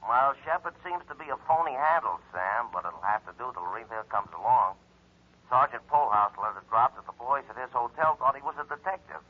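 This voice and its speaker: An actor playing a chatty, droll city morgue attendant in an old-time radio drama. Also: male voice, medium pitch, expressive, brisk, breathy edge. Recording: phone-like old radio recording.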